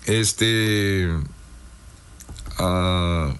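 A hoarse man, hosting a radio show and reading listener greetings in a chummy, casual way. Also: low voice, fairly even intonation, drawn-out words, a bit bright. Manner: chummy, reads listener messages aloud. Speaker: radio host. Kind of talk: casual radio shout-outs.

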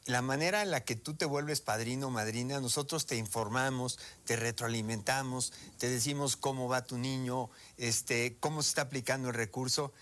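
A man with a Mexican Spanish accent speaking for a charity on a live telethon, explaining patiently and repeating details slowly. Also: mid-range voice, a bit bright. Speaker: charity representative.

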